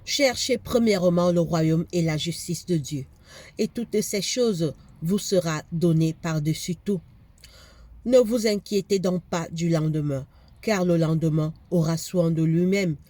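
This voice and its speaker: A woman's voice: fairly low pitch, unhurried, warm tone. A woman with a cold preaching a fervent sermon, repeating phrases for emphasis. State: has a cold, congested.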